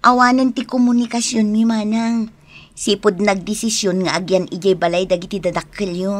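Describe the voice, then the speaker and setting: A woman's voice: medium pitch, level tone, moderate pace, natural voice. A woman playing a resigned, aggrieved young wife separated from her husband in a radio drama, with an Ilocano accent. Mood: guarded.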